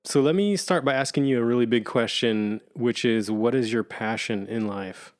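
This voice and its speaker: A man's voice: mid-range voice, normal speed. A man hosting a podcast, asking questions in an easygoing way with casual slang. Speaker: podcast host. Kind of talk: casual interview.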